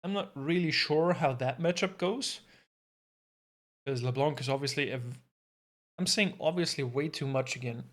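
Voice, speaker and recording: A man calling an esports match live from a home streaming mic, in a voice that sits a little high. He thinks aloud as picks come in and adds self-deprecating asides along the way. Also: fairly bright tone. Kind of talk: casual live game commentary.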